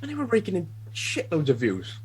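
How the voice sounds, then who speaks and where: A man's voice: sits high, huge pitch swings, fairly quick, darkish tone, slight background noise. A Welsh man guesting on a podcast, chatting casually, swearing freely and laughing at himself over a remote call. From Wales.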